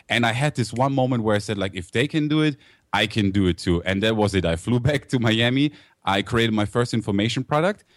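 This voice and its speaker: A man's voice: fairly low pitch, no echo, clean studio sound. A man in his thirties with a German accent, telling his story as a guest with upbeat energy. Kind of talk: interview storytelling.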